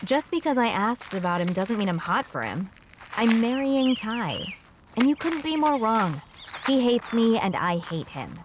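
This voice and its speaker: A woman's voice: a little high, big pitch swings, a little slow, background noise. A woman playing a sweet, loyal young best friend in an audio drama, earnest and teasing. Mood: defensive.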